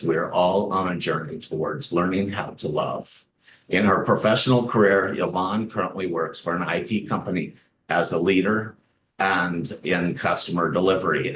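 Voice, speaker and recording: A man hosting an online interview, recorded in an echoey room with faint background noise. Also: sits low. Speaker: host.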